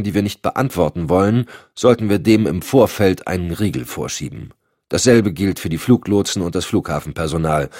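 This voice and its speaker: A man's voice: on the low side, darkish tone, dry recording, studio quality, voice pushed up. A man voicing a grave, decisive male president giving firm instructions. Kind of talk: audiobook acting.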